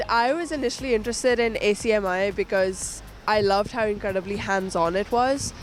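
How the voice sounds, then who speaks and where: A woman's voice: mid-range voice, moderate pace, a little hiss or hum, rough recording. A young woman from India introducing herself casually to camera in an upbeat, chatty way. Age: young adult. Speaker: news intern introducing herself.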